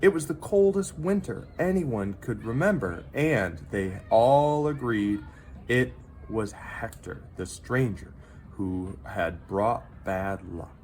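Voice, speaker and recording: A man reads a children's story aloud over noticeable background noise. His delivery is slow and dramatic, with very lively pitch movement and pauses for effect. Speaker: teacher reading a picture book aloud.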